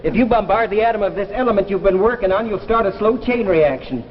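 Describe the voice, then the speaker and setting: An actor playing a meek but giddy, earnest time traveller in a 1950s radio drama, with a folksy, emotive delivery. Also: male voice, high voice, expressive, fast, a little hiss or hum. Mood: urgent. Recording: dull vintage radio recording with music.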